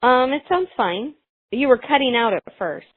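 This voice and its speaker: A woman's voice: fairly high pitch, expressive, normal speed, husky, no room sound. A woman talking casually on a recorded phone call. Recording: muffled tinny recorded phone line.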